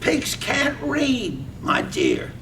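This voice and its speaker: A man's voice: wildly swooping pitch, extremely slow, bright, forceful tone, full character voice. A man acting a meek, slyly needling henpecked husband in a reading of a poetic radio drama. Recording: roomy, distant microphone, faint rumbly noise.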